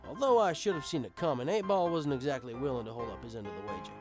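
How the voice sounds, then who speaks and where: A man's voice: mid-range voice, animated, fast talker, music in the background. A man reading a scripted first-person story as an audiobook narrator, with dramatic emphasis. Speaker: audiobook reader.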